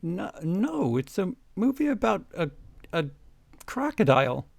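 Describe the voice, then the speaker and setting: Gravelly man making bemused interjections. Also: high voice, very animated, unhurried, dry recording. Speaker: co-host reacting to a reading. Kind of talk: casual comedic banter.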